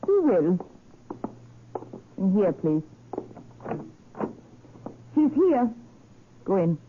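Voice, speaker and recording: An actress playing a stern, suspicious gatekeeper in a 1940s radio drama, speaking in terse, imperious orders. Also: female voice, medium pitch, sing-song, drawn-out words. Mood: cold, insistent. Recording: tinny, slightly noisy vintage radio.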